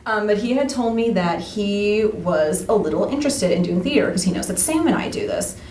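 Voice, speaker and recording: A chatty, giggly woman talking casually as a podcast interview guest, retelling things in an animated way. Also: mid-range voice, brisk, recorded from a distance. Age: adult, parent of a nine-year-old. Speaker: podcast guest, radio play cast member. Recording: echoey room, off mic, faint noise.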